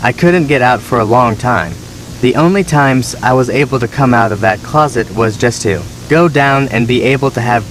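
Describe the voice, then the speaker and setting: A man playing a neglected, resentful younger son, telling his story as measured, plaintive scripted horror narration. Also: medium pitch, a little hiss or hum, close to an everyday voice, poor audio.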